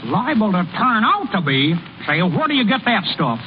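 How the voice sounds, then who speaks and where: Lazy, cocky small-town ballplayer, high-pitched. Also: male voice, big pitch swings. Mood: cocky, unbothered. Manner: folksy, unhurried, boastful, ungrammatical. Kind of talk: 1930s-40s radio comedy acting. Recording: muffled, noisy old radio broadcast.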